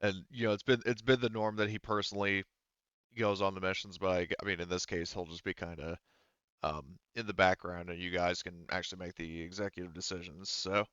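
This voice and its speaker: Male game master, deep and clean-toned, halting casual delivery, slightly dull dry audio. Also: fairly even intonation, fairly bright tone, clear, resonant tone, dry recording. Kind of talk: narrating an online tabletop game.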